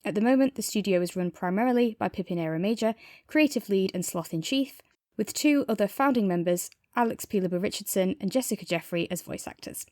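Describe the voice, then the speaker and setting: A woman's voice: medium pitch, expressive, fast, a little mellow, no room sound, clean studio sound. A woman co-hosting a review podcast, speaking in an enthusiastic, conversational way. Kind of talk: casual review chat, some reading aloud.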